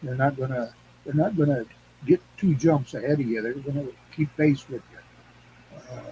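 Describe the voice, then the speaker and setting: An older man talking as an interview guest, telling rambling anecdotes in a folksy, salty way, often halting and repeating phrases. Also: mid-range voice, warm tone. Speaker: guest, Craft elder and teacher. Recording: clearly noisy background hum.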